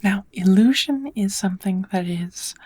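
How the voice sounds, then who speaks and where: A woman playing a kind witch who patiently teaches magic in a soft, often whispered ASMR roleplay voice. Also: medium pitch, slightly rough. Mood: gentle, musing. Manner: patient, encouraging, unhurried teaching. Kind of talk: ASMR roleplay, whispered lesson to one listener.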